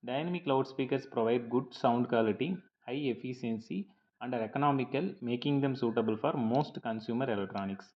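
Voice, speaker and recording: A dull, lo-fi recording carries a man's mid-pitched voice. He reads a prepared lecture as a teacher would, explaining point by point in a measured, slightly halting manner. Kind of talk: scripted lecture narration over slides.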